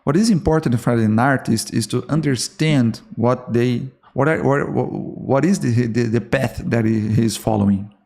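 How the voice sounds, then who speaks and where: A man with a Brazilian Portuguese accent talks straight to fellow artists on his own podcast. His voice sits at a middle pitch with a gravelly, fry-touched texture. English is his second language, so he restarts words and keeps checking in with "okay?". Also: moderate pace, few pauses, a little mellow. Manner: earnest, repeats and restarts words, frequent "okay?". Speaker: podcast host. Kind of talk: solo podcast talk to artists.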